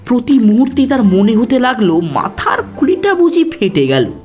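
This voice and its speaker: A woman narrating a scripted cartoon story in an expressive, dramatic storyteller's manner. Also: fairly high pitch, moderate pace, faint music behind. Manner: animated, dramatic delivery.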